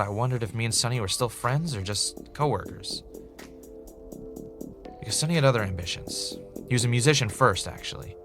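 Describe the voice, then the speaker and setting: A man's voice: fairly low pitch, close to an everyday voice. A man playing an earnest, doubtful podcast host in a scripted audio drama. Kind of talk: fictional podcast narration and conversation.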